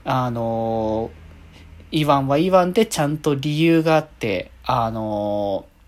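A male podcast host talks on his own in a casual review style. His voice sits at a middle pitch, and his phrasing is polite yet conversational, with sentences often softened by trailing particles. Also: lively intonation, slow. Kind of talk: casual monologue reviewing an anime episode.

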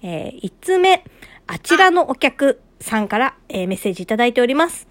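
Lively high-voiced woman chatting. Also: brisk. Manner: expressive, animated storytelling. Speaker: radio talk co-host. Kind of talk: casual chat and anecdotes.

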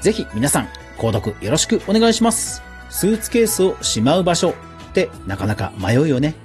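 A man's voice: on the high side, very animated, normal speed, darkish tone, soft background music. A man hosting a podcast news round-up in a casual, chatty way, with informal asides and little interjections of agreement. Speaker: podcast host.

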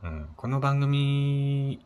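A man hosting a casual solo radio chat, speaking politely and a little hesitantly, with soft fillers between his phrases. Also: medium pitch, expressive, very slow, clean tone. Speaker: solo podcast host.

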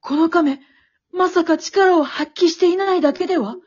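A woman playing an excitable, wisecracking storyteller, narrating a comic radio drama like play-by-play with dramatic flair. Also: extremely high pitch, moderate pace. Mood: excited, suspenseful. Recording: dull, lo-fi recording.

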